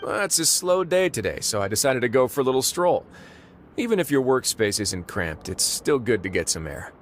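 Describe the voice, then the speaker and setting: An actor playing a calm, confident male prison warden who talks in a relaxed, dry, wry way in a video game. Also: mid-range voice, moderate pace, slight background noise, natural voice.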